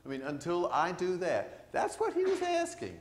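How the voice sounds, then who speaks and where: An older man, a priest, preaching a conversational sermon to his congregation, animated and asking them questions. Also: sits high, moderate pace. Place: large church sanctuary. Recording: echoey church sanctuary.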